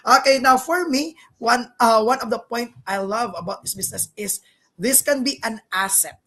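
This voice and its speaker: A woman's voice: on the high side, normal speed, fairly bright tone. A woman hosting an online business discussion, talking in an affirming, conversational way as she introduces speakers and comments. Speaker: host.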